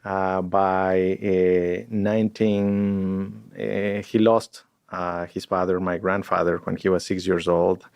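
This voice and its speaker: A man's voice: sits low, flat delivery, slow, creaky, a little mellow, clear, resonant tone, no echo. A man, a guest in a podcast interview, talking in a reflective way and searching for words. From Ecuador. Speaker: guest scientist.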